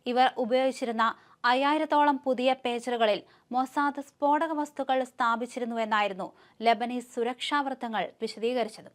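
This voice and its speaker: Woman, high voice, news presenter, scripted narration. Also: fairly bright tone.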